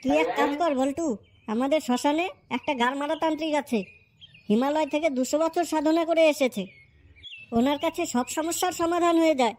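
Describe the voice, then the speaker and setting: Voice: high-pitched, fairly quick, a little mellow, close to an everyday voice. A performer playing a crude, cocksure young male friend handing out advice in a comic cartoon. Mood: assured, advising. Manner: crude, advising.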